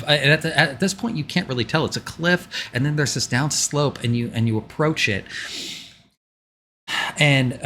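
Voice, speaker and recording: A man's voice: medium pitch, fairly bright tone, a little hiss or hum. A man narrating a tabletop game as game master in a loose, informal, improvised way.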